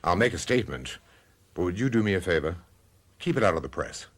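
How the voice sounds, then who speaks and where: Deep-voiced, suave gentleman. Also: sing-song, a little fast. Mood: subdued. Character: sombre, suave male escort of an older socialite. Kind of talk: golden-age style radio drama acting.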